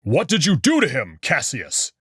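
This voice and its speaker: A man's voice: medium pitch, wildly swooping pitch, normal speed, a bit bright, slightly rough, dry recording, studio quality, exaggerated voice. A man voicing a protective male alien wolf prince in a visual novel, earnest and urgent. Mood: worried, urgent.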